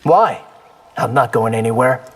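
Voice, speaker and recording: An actor voicing a confident young warrior in a fighting video game, cocky, wry and determined. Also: male voice, medium pitch, a little slow, slightly rough, in a large hall. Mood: defiant. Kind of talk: video game character acting.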